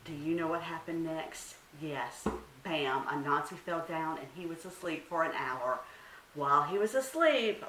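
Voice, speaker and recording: Animated woman storyteller in a roomy space. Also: fairly low pitch. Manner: dramatic, asks listeners questions. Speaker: children's librarian storyteller. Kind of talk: expressive storytime narration for young children. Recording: roomy, distant mic, faint hiss.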